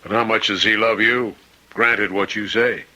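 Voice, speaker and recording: A hospital chaplain in a radio play. His voice is on the low side and very sharp and forceful, with a concerned, probing, persuasive manner. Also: fairly even intonation, normal speed, low-quality recording. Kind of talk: radio drama acting.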